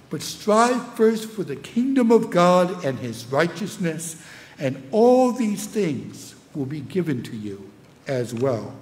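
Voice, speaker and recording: An older man with a New England accent preaches from the pulpit, slow and deliberate, his pitch sitting a touch high and swinging widely as he lifts scripture lines. His words ring out through a church with a long reverb tail. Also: a little mellow. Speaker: pastor. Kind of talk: sermon. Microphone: pulpit microphone.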